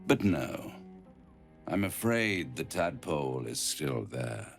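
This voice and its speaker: A man voicing a suave, ancient, scheming devil in a video game, silky and grandiose, savouring words with dramatic pauses. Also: very deep voice, very animated, gravelly, faint music behind, heavily acted. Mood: silky, confiding. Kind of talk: theatrical video game villain monologue.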